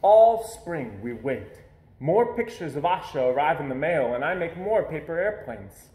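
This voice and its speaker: Man, storybook narration, slightly high pitch, very animated intonation, roomy echo. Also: measured pace. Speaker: storybook reader. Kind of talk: expressive children's read-aloud narration in a child's first person. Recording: echoey room.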